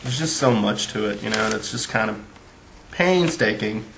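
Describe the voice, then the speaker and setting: A man's voice: on the low side, animated, moderate pace, creaky, a bit bright, slight background noise, rough recording. A man giving a casual spoken book review, rambling along with lots of fillers.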